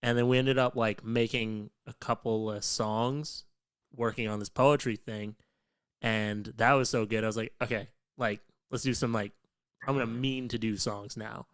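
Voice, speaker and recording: A man's voice: mid-range voice, steady tone, normal speed, a bit bright, clear, resonant tone, no room sound, clean studio sound. A young adult man hosting a podcast, talking in a laid-back way with lots of filler words. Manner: laid-back, many fillers like "like" and "um", swears casually. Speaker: podcast host. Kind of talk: casual chat.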